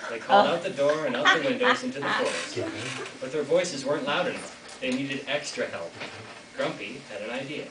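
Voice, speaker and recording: A man's voice: mid-range voice, animated, fairly quick, crowd noise. Teenage male narrator in an echoey hall. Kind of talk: scripted fairytale narration, school readers' theater. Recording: echoey noisy hall from far off.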